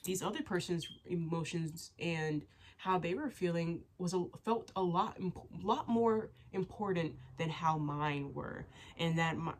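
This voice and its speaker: A young woman with a low voice talks openly and at length to her viewers. She is getting over a cold, so she sounds stuffy and sniffles now and then. Her sentences ramble, full of "like" and "you know" and restarts. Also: a little hiss or hum. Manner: rambling and candid, many fillers and restarts. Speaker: vlogger. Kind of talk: casual chat to camera.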